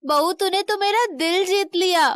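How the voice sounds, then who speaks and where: A woman performing an elderly Indian mother-in-law for a kids' cartoon story. The voice is very high-pitched and recorded dry. She comes across as stern, motherly and given to sarcastic scolding. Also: unhurried, no echo. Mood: proud, loving. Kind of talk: animated moral story dialogue in Hindi.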